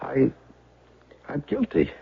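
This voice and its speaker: An actor playing a distraught, guilt-ridden man in a 1970s radio drama, with a raspy, strained voice and an agitated, pleading delivery. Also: mid-range voice, huge pitch swings, slow, full character voice. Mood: anguished guilt. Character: distraught man confessing guilt and murderous intent. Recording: tinny, band-limited old radio broadcast.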